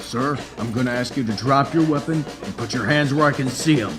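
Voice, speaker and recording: An actor playing a commanding police officer urgently confronting an armed suspect in an audio drama. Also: male voice, medium pitch, brisk, over music, lightly acted. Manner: urgent, authoritative.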